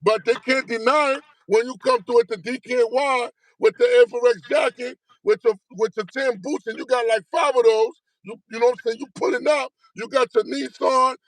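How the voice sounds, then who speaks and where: A man's voice: very high voice, steady tone, moderate pace, hardly any pauses, rough recording. A middle-aged man from Haiti, a guest telling stories in a casual interview, emphatic, profane and streetwise, always asking if you get what he's saying. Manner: repeats tag questions like "you know what I'm saying".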